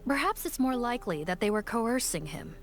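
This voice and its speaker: An actress voicing a composed woman in a game who speaks in a measured, thoughtful way. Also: fairly high pitch, big pitch swings. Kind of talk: game voice acting. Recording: game audio with faint music.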